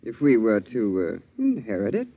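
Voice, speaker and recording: A man's voice: fairly low pitch, huge pitch swings, moderate pace, exaggerated voice. An actor playing a lazy, insolent young man with a glib, self-satisfied manner in a 1940s radio drama. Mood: smug. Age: young adult. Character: lazy, insolent, sly college-age son. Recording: muffled vintage 1940s radio recording.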